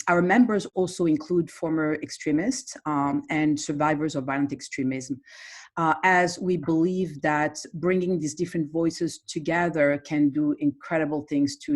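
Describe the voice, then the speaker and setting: A woman from Morocco, a therapist, speaking earnestly on an online panel, hesitant with many fillers. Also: sits low. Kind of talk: panel talk on a video call. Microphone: video call.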